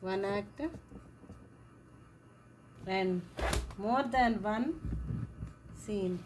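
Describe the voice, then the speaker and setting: A woman teaching a lesson on a play, talking slowly and repeating words and phrases as she writes. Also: mid-range voice, noisy background. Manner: slow, repeats words and phrases while writing. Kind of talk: classroom lesson explaining a play.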